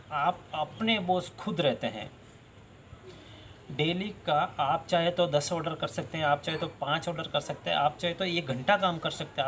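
Animated man pitching a delivery job. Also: slight background noise. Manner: animated, gestures with both hands, repeats key points. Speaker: job channel host. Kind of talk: direct-to-camera job advice. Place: outdoors by a plain wall.

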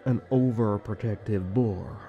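A man playing a flirtatious, teasing rival elf prince in a fantasy audio drama, with a smooth, mocking courtesy. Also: fairly low pitch, unhurried, phone-quality sound, faint music behind, lightly acted.

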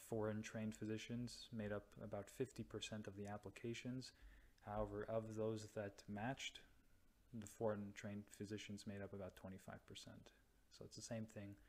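A young man from North America, a radiology resident, explaining slides slowly with many pauses and restarts. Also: fairly low pitch, darkish tone. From United States or Canada. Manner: slow, hesitant, many ums and restarts. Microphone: voice-over to slides.

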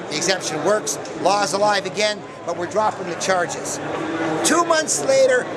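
A man giving an animated speech at an outdoor expo, telling stories and acting out the people he quotes. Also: high-pitched. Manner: storytelling, acting out quoted officials in raised voices. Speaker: speaker at an expo. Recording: loud crowd and background noise outdoors.

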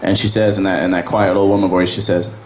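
A man's voice: deep voice, level tone, fast. Young male stand-up, Virginia, crude and self-deprecating, echoey bar room. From Virginia, USA. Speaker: stand-up comic. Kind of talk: open mic stand-up set. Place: small bar open mic stage. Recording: echoey bar with background noise.

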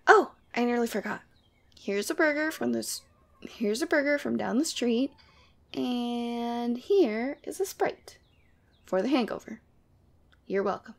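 Demon girlfriend played by a woman, ASMR audio drama: sweet but teasing, casual and playfully exasperated, very creaky delivery. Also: fairly high pitch, lively intonation, unhurried, long pauses. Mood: teasing, caring.

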